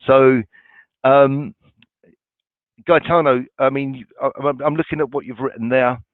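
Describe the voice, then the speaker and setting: College guy answering webinar questions, lots of ums, mid pitch, tinny phone-ish sound. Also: fairly even intonation, a little slow, no echo. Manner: reassuring, informal, many restarts and ums. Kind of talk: webinar Q&A, reading out viewer questions.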